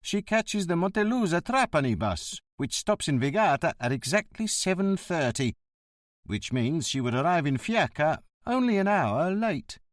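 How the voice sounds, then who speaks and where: A man puts on a surly, reed-thin bus driver of about fifty, pitched well above his own reading voice. He speaks bluntly and with a stickler's grievance, in a storybook read close and dry. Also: big pitch swings, normal speed, dry recording. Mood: matter-of-fact. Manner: blunt, aggrieved, sticks to the rules.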